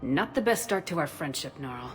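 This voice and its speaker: No-nonsense military hero in a game, deep for a woman, dry n wry, bit of music. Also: animated, moderate pace, long reverb tail. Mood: irritated. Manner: dry, assertive.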